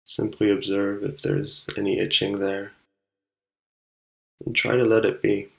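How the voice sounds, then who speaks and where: A man leading a guided meditation, speaking calmly and unhurriedly with long pauses between short instructions. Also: lowish voice, creaky, muffled recording.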